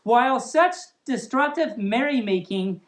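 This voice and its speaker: A woman reading a story aloud as a storytime host, playful and silly in an expressive way. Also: a little high, unhurried.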